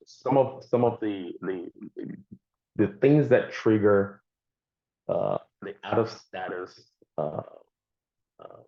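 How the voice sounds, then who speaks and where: A man with a Nigerian accent, a guest answering interview questions in a halting way, with many fillers and repeated words. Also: low voice. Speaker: guest speaker. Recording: dull lo-fi sound.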